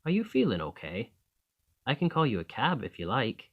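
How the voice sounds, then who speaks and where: Charming, lively young male student, acted by a man. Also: mid-range voice, moderate pace, slightly rough, phone-quality sound, heavily acted. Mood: friendly, coaxing.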